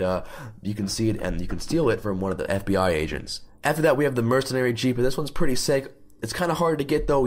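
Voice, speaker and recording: A young man with a raspy voice, sick and losing it, doing an upbeat, chatty game guide voice-over. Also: fairly low pitch. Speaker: gaming YouTuber.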